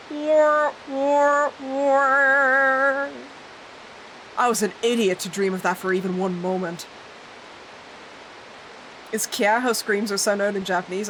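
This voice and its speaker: A woman streamer reading a visual novel aloud with giggly, animated commentary. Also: mid-range voice, a little hiss or hum, low-quality recording. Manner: animated, giggly, reads text with playful voices. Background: faint game music.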